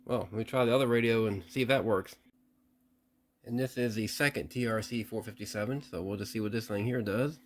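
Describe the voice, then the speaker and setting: A man's voice: fairly low pitch, fairly quick. A man giving a casual, unscripted hands-on demonstration, thinking aloud with some hesitation. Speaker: vlogger testing vintage CB radios.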